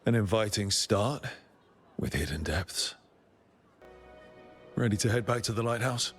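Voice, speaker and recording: Very deep man, supportive game hero, smooth adventurer, light background music. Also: unhurried, a bit bright. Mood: flirtatious. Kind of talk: video game voice acting.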